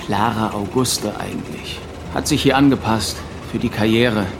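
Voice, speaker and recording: An actor playing an exasperated, dryly witty adult son in a radio drama, weary and placating. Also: male voice, on the low side, moderate pace, vocal fry, background noise. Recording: loud music behind the voice.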